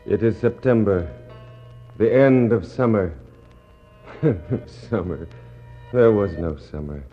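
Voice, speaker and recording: A muffled old radio recording with music behind a stranded Victorian naval officer. The man's voice is low, measured and wry, weary and fatalistic as if reading out a log. The acting is 1960s radio drama. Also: husky. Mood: bitter, wry. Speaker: actor.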